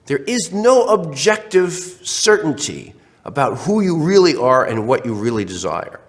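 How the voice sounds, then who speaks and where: A man's voice: on the high side, a little slow. A man lecturing online, slightly congested from a cold, with many restarts and fillers. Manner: animated, acts out questions in other voices.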